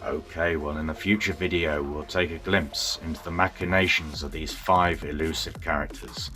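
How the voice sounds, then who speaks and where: A man narrating a scripted storybook tale in a theatrical, deliberate manner. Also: extremely low pitch, steady tone, pushed and bright.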